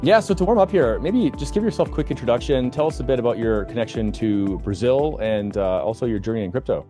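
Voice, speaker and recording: A man's voice: mid-range voice, lively intonation, quick pace, mellow, faint music behind. A man hosting a podcast, asking long, rambling questions with many fillers and restarts in casual chat. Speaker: podcast host.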